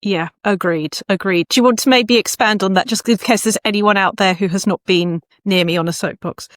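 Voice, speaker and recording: A woman's voice: medium pitch, brisk, no echo, studio quality. A woman hosting a conversational podcast interview, reflective and repeating words for emphasis. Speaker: podcast host. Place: indoor room with books behind, video call.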